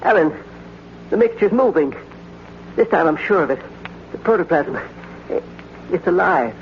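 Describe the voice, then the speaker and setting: An older, obsessive man of science with a creaky, fairly high voice that swings widely. He speaks in formal, fervent radio-play style. The old recording is muffled. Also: animated, normal speed. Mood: intense, excited. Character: reclusive older male scientist, indignant and obsessive. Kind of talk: 1940s-50s radio drama acting. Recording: dull, lightly noisy vintage radio recording.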